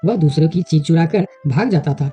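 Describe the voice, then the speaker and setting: A woman's voice: sits low, fairly quick, mellow, husky. A woman giving scripted narration of a children's animal story, with music under her voice.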